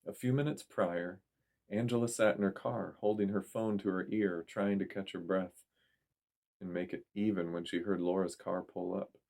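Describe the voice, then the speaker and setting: Low-voiced man narrating fiction. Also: fairly even intonation, normal speed, creaky, darkish tone. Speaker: storyteller reading fiction. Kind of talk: dramatic audiobook narration.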